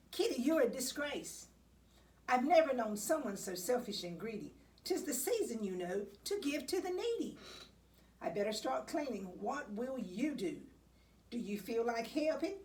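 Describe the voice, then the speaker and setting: Exasperated storybook cat owner, dramatic and highly expressive, slightly noisy distant recording, acted by a woman reader. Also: measured pace. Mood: fed up. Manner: emphatic, dramatic scolding. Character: exasperated cat owner scolding a naughty pet.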